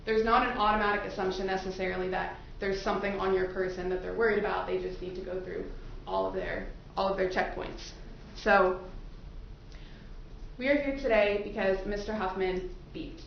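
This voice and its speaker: Echoey, creaky-voiced woman defense attorney. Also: mid-range voice, moderate pace. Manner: conversational, informal, explanatory. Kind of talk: questioning prospective jurors in court. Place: courtroom, into a microphone. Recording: echoey courtroom audio, muffled, noisy.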